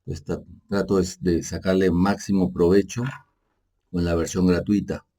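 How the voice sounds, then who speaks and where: A man with a low, deep voice and a dark, mellow tone narrates a screen tutorial. He talks in an unhurried, casual way, correcting himself as he goes and checking in with little tag questions. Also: little pitch movement. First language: Spanish. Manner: casual and explanatory, frequent fillers like "pues" and "¿no?"; halting, self-correcting narration while working on screen. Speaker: energy manager giving a software tutorial. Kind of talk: unscripted screen-share tutorial.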